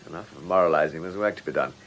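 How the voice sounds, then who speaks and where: A man playing a gentleman police inspector in a radio drama, polite and measured in his questioning, with a dry wit. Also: very deep voice, a little hiss or hum. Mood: wry, brisk. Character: courteous, probing, wry gentleman police inspector.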